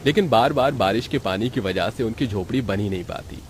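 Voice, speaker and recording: A man's voice: lowish voice, fairly quick, a little hiss or hum. A man reading scripted narration as the storyteller of a moral tale.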